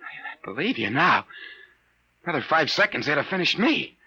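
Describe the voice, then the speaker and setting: A man's voice: a little high, very animated, normal speed, sharp tone, husky, exaggerated voice. A man playing a brash, rattled private detective, slangy and blunt, in 1950s radio drama style. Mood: suspicious. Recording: muffled vintage 1950s radio recording.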